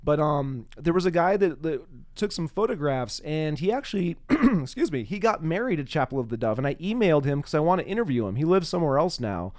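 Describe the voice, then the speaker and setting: A man's voice: on the high side, very animated, brisk, hardly any pauses, darkish tone. A man hosting a casual, chatty interview, often echoing back what the other person says. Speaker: interviewer, podcast host.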